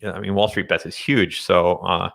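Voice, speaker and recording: A man talking casually as an interview guest about finance and tech, halting as he thinks aloud. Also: low voice, fairly even intonation, creaky, fairly bright tone. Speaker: guest, day trader.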